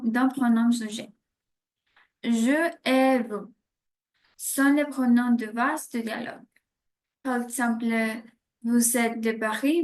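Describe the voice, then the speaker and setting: A woman giving a scripted French grammar explanation with measured example sentences. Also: on the high side, darkish tone. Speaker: French teacher.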